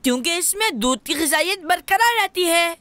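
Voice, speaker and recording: A performer as a very high-voiced helpful boy. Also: expressive, a little fast, bright, forceful tone, a little hiss or hum, full character voice. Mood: eager. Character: helpful, energetic, earnest young boy. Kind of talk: children's cartoon promotional acting.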